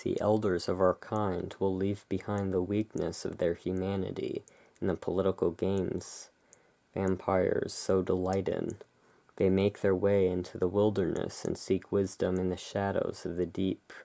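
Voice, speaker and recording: Very slow, low, creaky ASMR host. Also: level tone. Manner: hushed, unhurried, musing. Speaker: host, playing curiosity emporium owner. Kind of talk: soft-spoken ASMR roleplay, reading and describing tarot cards.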